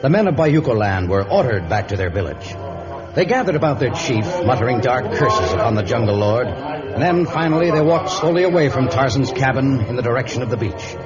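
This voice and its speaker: An actor as the solemn narrator of a vintage radio adventure serial, telling the story in florid dramatic style. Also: male voice, mid-range voice, animated, brisk, close to an everyday voice. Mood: solemn, suspenseful. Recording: dull old radio recording. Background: loud dramatic music.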